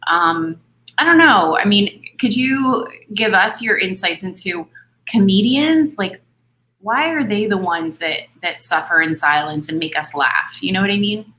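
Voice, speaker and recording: A woman's voice: mid-range voice, lively intonation, unhurried, bright, forceful tone. A woman hosting an interview series, earnest and upbeat, speaking over a video call. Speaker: host.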